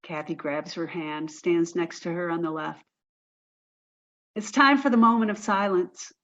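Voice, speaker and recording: A woman's voice: fairly low pitch, unhurried, fairly bright tone, muffled recording. A woman reading scripted narration for an audio play as its narrator. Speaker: narrator reading stage directions and podcast sign-off.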